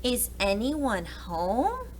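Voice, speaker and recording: A woman's voice: fairly high pitch, huge pitch swings, drawn-out words, slight background noise, voice pushed up, exaggerated voice. A woman voicing a curious, lost little girl from a storybook in a plaintive, hesitant way.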